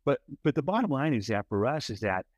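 Expressive man with a big voice. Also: mid-range voice, fairly quick, no room sound, dull audio. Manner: many restarts and repeated "right?". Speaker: podcast co-host. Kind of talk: casual podcast chat.

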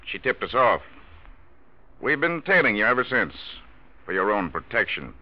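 An actor playing a tough, smug, plain-spoken police chief in a 1940s radio drama. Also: male voice, lowish voice, big pitch swings, moderate pace. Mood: smug, stern. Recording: muffled old radio recording.

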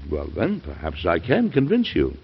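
An actor playing an earnest, stubborn, idealistic American president in formal, oratorical old-time radio drama style. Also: male voice, deep voice, huge pitch swings, normal speed, slightly rough, full character voice. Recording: muffled old radio broadcast recording.

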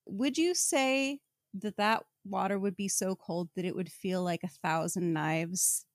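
A woman's voice: mid-range voice, a little slow, dry recording. Wry woman podcaster, close dry sound. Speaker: podcast co-host. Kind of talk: casual book discussion podcast.

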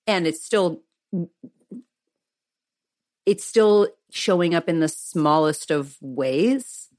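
Deep-voiced woman cohost, rambles thoughtfully, swears a bit, dry close mic. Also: lively intonation, slow, darkish tone, no room sound. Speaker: podcast co-host. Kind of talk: casual podcast conversation.

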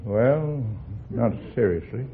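A man's voice: mid-range voice, expressive, takes it slowly, gravelly. An elderly man answering in a folksy, agreeable way as a contestant on a 1950s radio quiz. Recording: tinny old radio recording, hiss.

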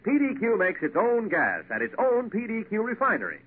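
Animated, folksy American radio pitchman. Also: brisk. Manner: folksy, emphatic salesmanship. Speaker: commercial announcer. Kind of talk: 1940s radio advertisement. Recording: tinny, hissy vintage radio broadcast.

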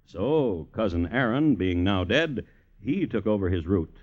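Wry old-radio storyteller, lively swings in pitch, theatrical, dulled recording. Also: male voice, a little slow. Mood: droll. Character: wry, folksy male storyteller of a dark tale. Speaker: narrator. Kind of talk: wry 1950s radio drama narration. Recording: muffled old radio recording, music underneath.

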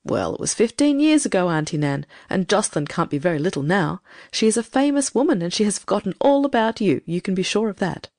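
A self-righteous storybook farm wife, voiced by a female narrator, scolding in a creaky voice that swings widely in pitch. She is brisk, bossy and condescending, with little patience. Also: big pitch swings, darkish tone, no echo, clean studio sound. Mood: dismissive.

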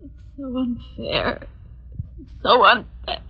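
A woman's voice: a little high, lively intonation, slow, slightly rough, heavily acted. A woman playing a proud, wary, wounded wife, speaking with insistent theatrical emphasis in an old-time radio melodrama. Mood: anguished. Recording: dull lo-fi old radio recording.

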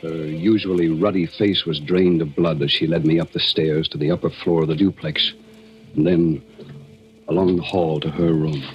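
A very low-voiced man carries an old radio murder mystery. He is earnest, deliberate and formal, probing as he goes. The sound is muffled vintage broadcast with music around it. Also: fairly even intonation. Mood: grave narration. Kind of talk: 1940s radio mystery acting.

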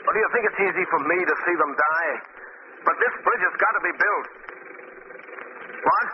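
An actor playing an earnest, beleaguered bridge-building boss in emphatic old radio drama style. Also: male voice, sits high, moderate pace, close to an everyday voice. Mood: strained. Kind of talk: 1940s radio acting. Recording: noisy, dull old radio recording.

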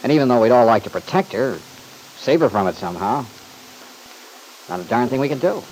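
Earnest young man played by an actor, very animated. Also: mid-range voice, fairly quick, close to an everyday voice, low-quality recording. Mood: reflective. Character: easygoing, thoughtful young man, gently teasing. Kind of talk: old-time radio soap opera acting. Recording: hissy vintage radio recording.

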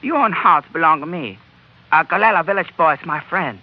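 A man playing a helpful Chinese trader in a vintage radio adventure, with a put-on Chinese accent and halting, deferential pidgin. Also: fairly high pitch, animated. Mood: solicitous. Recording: dull old radio broadcast.

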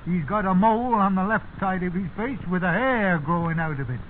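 An actor playing a stubborn, indignant old farmhand with a rural English accent and plain dialect talk, in 1950s radio drama style. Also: male voice, high-pitched, a little fast, natural voice. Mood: grumbling. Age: older. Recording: tinny, noisy 1950s radio recording.

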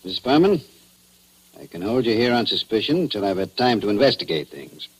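An American actor playing a shrewd, blunt police chief in 1940s radio style, terse and folksy. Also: male voice, on the low side, big pitch swings, brisk, breathy edge, poor audio. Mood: firm, probing. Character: shrewd, blunt small-town police chief questioning suspects.

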